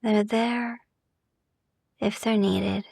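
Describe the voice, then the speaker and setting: A woman guiding a sleep meditation in a soft, gentle, soothing ASMR style, hushed and often slipping into whispers, with long pauses. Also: mid-range voice, flat delivery, creaky, slightly rough, no echo, studio quality. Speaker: sleep meditation podcast host.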